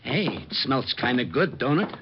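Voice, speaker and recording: Dopey police sidekick, noisy recording. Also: male voice, mid-range voice, big pitch swings, normal speed. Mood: dopey, grumbling. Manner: folksy, slangy, dopey. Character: dim, slow-witted, grumbling male police sidekick. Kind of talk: old-time radio comic acting. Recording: muffled, very noisy old radio recording.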